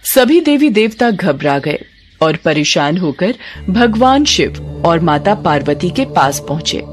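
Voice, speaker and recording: Expressive woman narrator over music. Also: fairly low pitch, a little slow. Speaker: storyteller narrator. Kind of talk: scripted devotional story narration.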